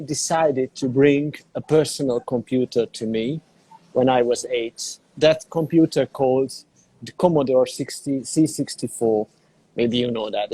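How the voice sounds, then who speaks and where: Middle-aged Hungarian man, unhurried, dark mellow timbre. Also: medium pitch, low-quality recording. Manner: unhurried, searching for words in a second language. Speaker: interview guest, digital artist. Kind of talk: casual interview on a call. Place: cafe in a small town.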